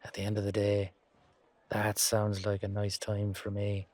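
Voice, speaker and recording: A man playing a weary, down-to-earth knight guardsman in a fantasy audio drama, musing colloquially to his partner with hesitant pauses. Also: lowish voice, monotone, darkish tone, lightly acted. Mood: grumbling, wry. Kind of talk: roleplay audio drama monologue addressed to a partner.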